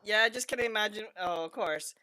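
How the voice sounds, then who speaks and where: A man's voice: high voice, fairly quick, sharp tone, a little hiss or hum, poor audio. A man giving casual gameplay commentary as a streamer, rambling and thinking aloud. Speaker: game streamer. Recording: game music behind the voice. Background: video game music.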